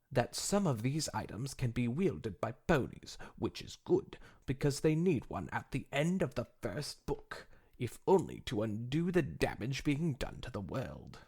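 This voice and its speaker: A man voicing a female pony adventurer pitching her own novel in an affected, sophisticated manner. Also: creaky, darkish tone, slightly rough, heavily acted. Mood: earnest pitching. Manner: affected, mock-sophisticated, expository. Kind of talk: fan fiction dramatic reading.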